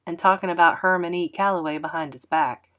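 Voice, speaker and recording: A woman reading a children's novel aloud as a teacher, in an expressive narrating style. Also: on the low side, fairly quick.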